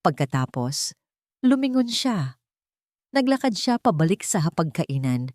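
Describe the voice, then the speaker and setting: A woman narrating a scripted dramatic story in measured, short phrases. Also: fairly low pitch, sing-song, a little mellow, no echo, clean studio sound.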